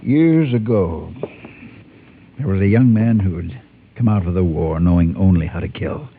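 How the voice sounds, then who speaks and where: An actor playing a guilt-ridden former gunfighter turned preacher in a Western radio drama, speaking in a grave, halting, confessional way with a husky voice. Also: male voice, deep voice, a little hiss or hum. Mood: haunted, confessional. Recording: muffled, tinny old-time radio recording.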